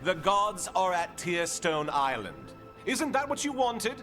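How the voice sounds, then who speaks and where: A pompous, sarcastic official in a video game cutscene. His voice sits somewhat high and swoops theatrically with disdain. Soft music sits underneath. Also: sing-song, unhurried, heavily acted. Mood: mocking. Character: haughty, sarcastic male official, self-justifying traitor.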